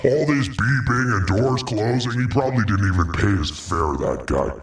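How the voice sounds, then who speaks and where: A man's voice: fairly low pitch, lively intonation, moderate pace, echoey room, recorded from a distance, noisy background, voice pushed down, lightly acted. A man voicing a crude, griping male Satan who accuses and complains.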